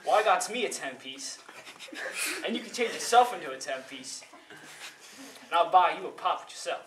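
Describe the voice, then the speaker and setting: Guy doing a sly folksy trickster from a folktale, kinda high voice, mock sorry, echoey and noisy. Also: lively intonation, moderate pace, close to an everyday voice. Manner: folksy, mock-apologetic, bargaining. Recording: roomy, noisy recording from far off.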